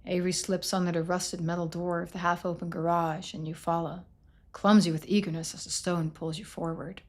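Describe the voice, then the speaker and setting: A woman's voice: on the low side, little pitch movement, fairly quick. A woman game streamer, moving between casual gameplay commentary and reading out story narration.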